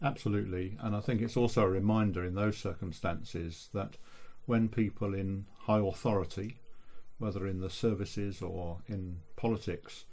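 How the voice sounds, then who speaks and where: A man speaking as an interview guest in a measured, thoughtful way. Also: fairly low pitch, fairly even intonation, darkish tone. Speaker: interview guest, local history researcher. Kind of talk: reflective radio interview.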